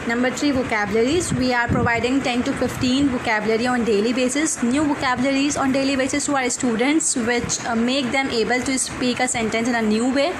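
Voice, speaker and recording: Woman, Pakistani accent, English teacher, earnest, fairly high voice, steady background noise. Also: brisk, hardly any pauses. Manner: earnest, many fillers, list-like delivery. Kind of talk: course introduction.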